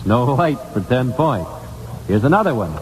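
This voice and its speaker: Animated American radio quiz man, echoey hall. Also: medium pitch, normal speed. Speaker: quiz show host. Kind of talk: 1950s radio quiz hosting. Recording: echoey, noisy 1950s radio recording.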